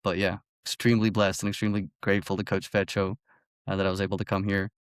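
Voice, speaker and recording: A man's voice: low voice, level tone, fast, smooth, no echo, studio quality. A man in his early twenties from Belgium, an athlete telling his story casually as an interview guest. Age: early 20s. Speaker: college golfer, interview guest.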